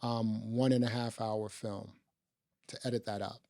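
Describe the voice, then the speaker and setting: A man's voice: fairly low pitch, level tone, a little slow, mellow, no echo, studio quality. A man hosting a podcast, chatting casually about movies in a conversational way peppered with little asides. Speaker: podcast host. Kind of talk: casual movie review chat.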